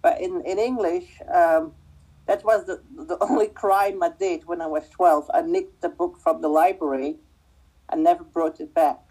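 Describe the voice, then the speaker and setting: A woman in her fifties from Belgium, a native Dutch speaker with a Dutch-speaking Belgian accent, answering interview questions conversationally and haltingly, often repeating words. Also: fairly low pitch, steady tone, mellow, husky, low-quality recording. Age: 50s. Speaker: guest, spiritual medium.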